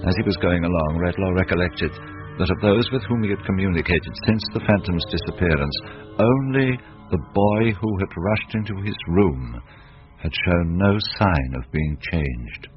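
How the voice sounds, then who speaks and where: A man narrating a scripted dramatic story in a grave, measured way. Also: low voice, lively intonation, faint music behind. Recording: muffled noisy old radio recording.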